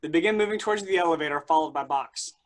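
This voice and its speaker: A stage directions reader with a medium-pitched voice. The delivery is scripted narration for a play reading, setting out each action. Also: very fast.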